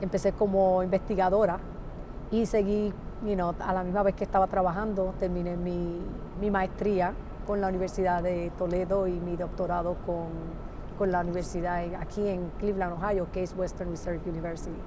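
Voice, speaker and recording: A woman's voice: fairly low pitch, level tone, brisk, noisy background. A woman with a Puerto Rican Spanish accent who moderates a roundtable, asks questions and mixes in a few English words. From Puerto Rico. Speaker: center director, moderator. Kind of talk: roundtable conversation.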